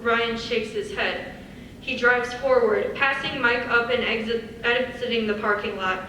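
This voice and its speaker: Female narrator, slightly high voice, scripted stage directions, very reverberant hall, distant mic. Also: fairly even intonation, a little slow, pushed and bright. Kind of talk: scripted narration, live dramatic reading. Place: large echoey indoor hall on stage. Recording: very echoey hall, distant mic, faint noise.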